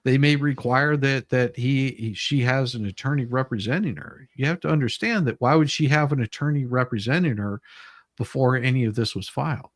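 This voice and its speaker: A man's voice: medium pitch, a little fast, no room sound, studio quality. A man hosting a livestream with casual chat and commentary, repeating phrases and trailing off mid-sentence as he rambles. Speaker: livestream host.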